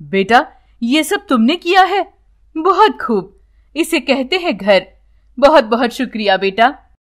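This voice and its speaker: Elderly boatman, kind and lonely, for a children's cartoon tale, read by a woman in a high register; unhurried, gentle. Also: big pitch swings, heavily acted. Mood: pleased, grateful. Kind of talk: children's animated story dialogue.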